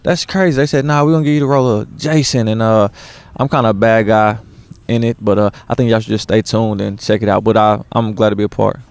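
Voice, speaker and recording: A laid-back young man with a lowish, smooth voice. He talks easily and loosely, dropping slang and a lot of uhs along the way. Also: steady tone, fast, a little mellow, dry recording. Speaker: stage actor. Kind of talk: casual interview.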